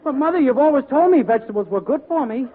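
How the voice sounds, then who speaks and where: Eager naive youth, very high pitch, cheeky, narrow-band vintage radio, faint audience. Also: male voice, lively intonation, quick pace, some chatter behind. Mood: cheeky innocence. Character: naive, cheeky, girl-hungry mama's boy. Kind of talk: 1940s radio comedy acting.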